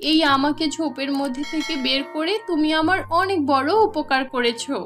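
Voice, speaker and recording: A woman's voice: high voice, normal speed, fairly bright tone, soft background music. A woman voicing a small, grateful, kind butterfly fairy in an exclamatory children's cartoon style. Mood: warm, grateful.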